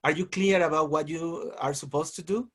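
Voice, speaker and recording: A man's voice: fairly high pitch, fairly even intonation, moderate pace, a crowd in the background. A man with a Peruvian Spanish accent hosting an online teachers' meeting over a video call, cheerful and joking with guests. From Peru.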